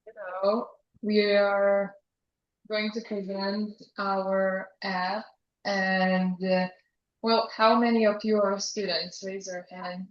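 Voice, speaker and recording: A woman's voice: medium pitch, little pitch movement, slow. A young woman from Lithuania, a student presenter speaking straight to the audience. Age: young adult. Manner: addresses the audience directly. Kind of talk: conference presentation. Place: conference hall. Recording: echoey hall, muffled mic.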